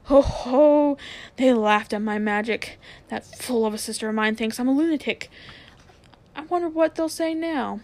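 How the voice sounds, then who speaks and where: A woman's voice: on the high side, moderate pace, darkish tone, natural voice. A woman voicing a greedy, boastful man past sixty who gets carried away by his money-making schemes, read as a storybook character. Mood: gloating. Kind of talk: storybook reading aloud.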